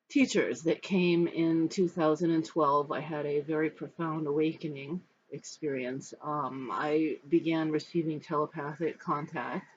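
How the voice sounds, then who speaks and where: An American in their fifties from Los Angeles, giving a personal talk to camera in a reflective, halting, rambling manner. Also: medium pitch. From Los Angeles, United States. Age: 50s. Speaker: spiritual storyteller. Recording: dull, muffled sound with background noise.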